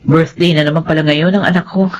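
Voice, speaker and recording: A woman's voice: deep voice, steady tone, fast, highs cut off, slight background noise, close to an everyday voice. An actress with a Filipino accent playing a self-excusing mother in a melodrama. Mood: defensive, bitter. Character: self-excusing adult mother leaving her daughter in another's care. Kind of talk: Filipino radio-style melodrama acting.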